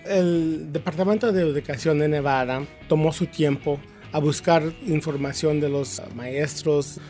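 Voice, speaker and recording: American man speaking halting, higher Spanish. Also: fairly even intonation, a little mellow, faint music behind. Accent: American English. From Kansas, USA. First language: English. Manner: halting, searches for words, accepts prompts. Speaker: teachers' union representative. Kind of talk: interview answers in second-language Spanish.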